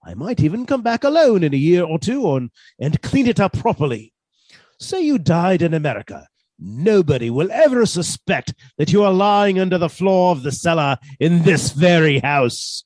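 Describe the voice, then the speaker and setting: A man's voice: a little high, very animated, moderate pace, darkish tone, no echo. A man playing a henpecked husband turned murderer in a radio suspense drama, fussy, precise and politely menacing. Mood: gloating, menacing.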